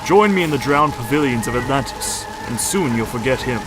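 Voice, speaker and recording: A man's voice: mid-range voice, expressive, brisk, music in the background, natural voice, low-quality recording. A man playing a brooding, lovesick undersea ruler, speaking in florid, formal romantic declarations in a superhero drama. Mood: brooding longing. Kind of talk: scripted superhero audio drama.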